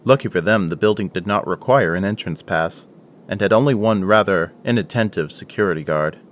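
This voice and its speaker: A man's voice: low-pitched, a little fast, slight background noise. A man giving scripted, dramatic narration for an action audio drama. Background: prominent music.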